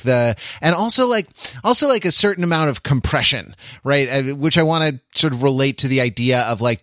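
A man's voice: mid-range voice, fairly bright tone, no room sound. Man podcasting in a dry, close recording. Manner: halting, digressive, self-interrupting, uses many hedging asides. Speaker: podcast co-host. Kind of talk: casual film discussion.

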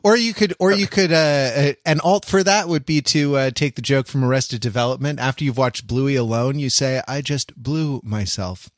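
A man's voice: mid-range voice, moderate pace, few pauses. A man moderating a casual podcast chat in a wry, mock-serious way.

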